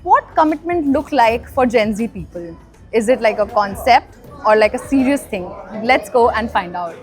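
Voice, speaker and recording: A woman's voice: fairly high pitch, lively intonation, moderate pace. A woman hosting a street vox pop, bubbly and teasing as she questions passers-by outdoors. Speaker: street interviewer and host. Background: background music.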